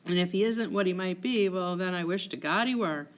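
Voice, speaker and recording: A woman reads a scheming officer in a stage tragedy. The delivery is measured and insinuating, in a lowish female register. The figure feigns reluctance while coolly manipulating the listener. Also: lightly acted. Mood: feigned reluctance.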